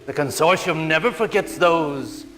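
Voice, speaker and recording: A villainous scientist in a video game cutscene. He gloats and taunts with theatrical menace in a slightly high male voice, drawing the words out slowly over clear background music. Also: a bit bright. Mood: mock-persuasive menace. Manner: taunting, melodramatic.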